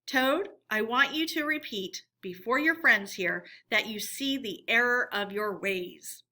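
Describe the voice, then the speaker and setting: A woman's voice: a little high, unhurried, bright, forceful tone. A woman voicing a stern, solemn male badger from a children's storybook, commanding and formal. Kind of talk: children's storybook character reading.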